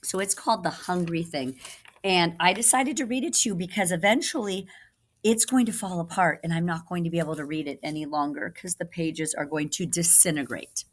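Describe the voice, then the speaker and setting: A woman teacher reading a children's story aloud expressively, pausing to put questions to the listener. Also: on the low side.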